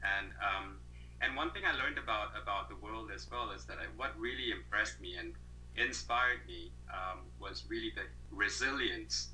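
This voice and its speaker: A man's voice: lowish voice, moderate pace, sharp tone, slight background noise, low-quality recording. A man in his thirties from Malaysia, a guest on an interview over a video call, talking thoughtfully with many fillers and restarts.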